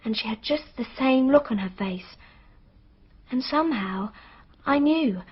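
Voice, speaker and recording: A woman's voice: sits high, expressive, a little slow, slightly rough, heavily acted. An actress playing an earnest young girl witness in a radio drama, confiding what she knows in a hesitant way. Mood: haunted recounting. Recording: dull, muffled radio drama recording.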